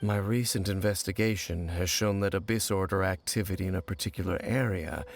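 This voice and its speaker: Stern brooding wanderer, low voice, solemn, measured, formal, video game drama, faint music behind. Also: male voice, vocal fry. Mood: serious, analytical. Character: grave, brooding male wanderer, stern and guarded. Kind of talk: video game character acting.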